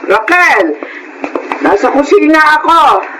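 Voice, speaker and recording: Older grandmother, radio drama. Apologetic, flustered, swooping high voice, faint noise behind. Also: expressive, slow pace. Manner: flustered, explaining. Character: older grandmother, well-meaning, apologetic mother. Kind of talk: Filipino radio drama acting.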